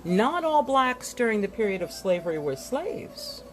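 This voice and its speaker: A woman narrates a history book as if presenting it to listeners. Her mid-pitched voice swings animatedly, with deliberate pauses and dramatic emphasis. Faint music plays behind her. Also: sing-song. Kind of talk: expressive read-aloud narration with asides.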